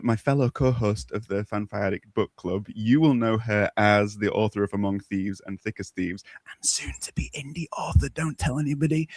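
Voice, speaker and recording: A man's voice: medium pitch, no echo. A man hosting a casual livestream chat in a playful, jokey, enthusiastic way. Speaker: host.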